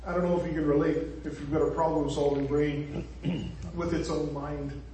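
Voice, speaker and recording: A chatty Northern Irish preacher, a man speaking a little high for his sex and tossing jokes and asides to the room. He sounds far from the microphone, and his voice rings around a very echoey church hall. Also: fairly even intonation, normal speed, warm tone, a little hiss or hum. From Northern Ireland. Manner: chatty and joking, with asides to the congregation. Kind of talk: conversational sermon.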